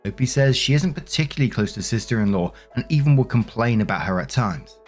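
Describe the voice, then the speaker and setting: A man narrating online stories aloud and commenting on them in a measured, conversational way. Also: mid-range voice, lively intonation, faint music behind. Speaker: host and narrator of Reddit story compilations.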